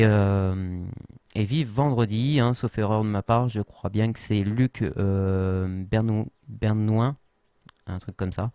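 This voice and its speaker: A man's voice: fairly low pitch, mellow, no echo. A man explaining a social media tool in an unscripted tutorial, full of fillers and restarts. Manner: hesitant, many fillers and restarts. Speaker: tutorial presenter.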